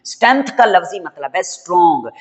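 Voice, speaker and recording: A hoarse man, teaching a chemistry lecture and calling his students children in a fatherly way. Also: high-pitched, big pitch swings, a little fast, big-room sound, lo-fi sound. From Pakistan. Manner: fatherly, addresses students as children, mixes English terms. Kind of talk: classroom lecture.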